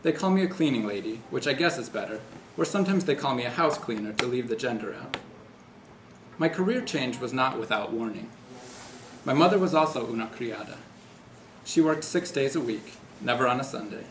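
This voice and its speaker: A man's voice: medium pitch, lively intonation, a little fast. A man reading a first-person short story aloud as an author. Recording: echoey room, faint background noise.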